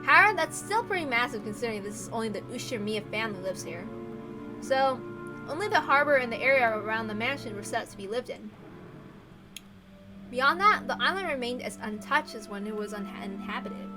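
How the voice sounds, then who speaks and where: A woman reading a visual novel aloud as expressive scripted narration. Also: on the high side, brisk, poor audio. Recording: music playing behind the voice. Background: background music.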